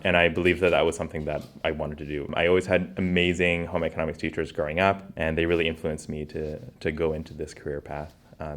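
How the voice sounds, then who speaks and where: A man who teaches home economics, giving an earnest, steady interview answer. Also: very deep voice.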